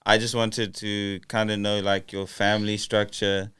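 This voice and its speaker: A man's voice: fairly low pitch, flat delivery, normal speed, a bit bright, clear, resonant tone, no echo, studio quality. A young man hosting a casual podcast interview, hesitant with many fillers and restarts. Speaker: podcast host.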